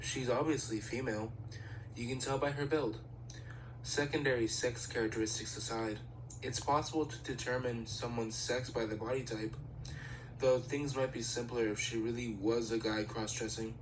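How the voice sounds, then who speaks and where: A man's voice: medium pitch, fairly even intonation, normal speed, background noise, natural voice. A man voicing a serious, studious teenage boy idol in an amateur anime fandub, speaking in a formal, pedantic, matter-of-fact way.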